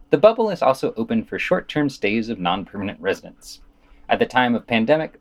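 A man hosting a podcast, reading scripted news commentary and quotations with dry, opinionated asides. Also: mid-range voice.

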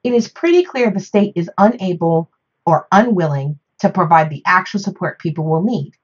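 A woman's voice: on the low side, lively intonation, normal speed, breathy edge. A woman presenting a scripted topical monologue on a podcast, recorded in dull lo-fi audio.

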